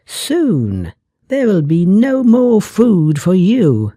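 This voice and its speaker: A storyteller voicing a practical, motherly field mouse in a fairy tale, slow and coaxing. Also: medium pitch, wildly swooping pitch, warm tone, no echo, studio quality, pitched above the performer's own voice, full character voice.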